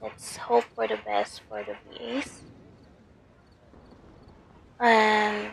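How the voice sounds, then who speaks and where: A woman from the Philippines, commenting softly over a game at home late at night, using a headphone mic, with lots of fillers. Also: little pitch movement, creaky, slight background noise, poor audio. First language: Tagalog. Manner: soft, lowered voice, slow, many fillers. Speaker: game streamer. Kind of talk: casual commentary over gameplay.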